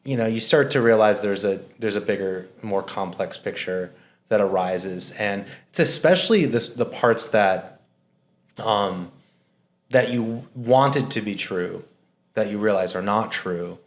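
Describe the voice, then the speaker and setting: A man from Minnesota answers questions as an interview guest. His voice sits low, and he talks in an earnest, reflective way. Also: a little slow. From Minnesota, United States. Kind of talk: conversational interview.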